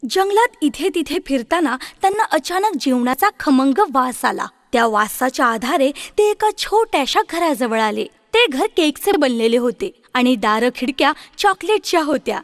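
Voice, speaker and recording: A woman's voice: sits high, sing-song, normal speed, hardly any pauses, no echo, studio quality. A woman reading a scripted fairy tale for children as the storyteller.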